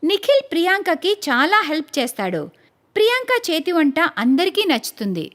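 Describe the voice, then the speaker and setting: Lively high-pitched woman narrator. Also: brisk. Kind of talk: storybook narration for a cartoon.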